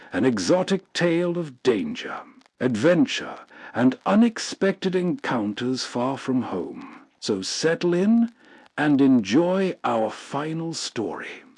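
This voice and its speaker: A man's voice: on the high side, darkish tone, no echo. A man hosting with atmospheric scripted narration, setting a scene for the listener. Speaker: host.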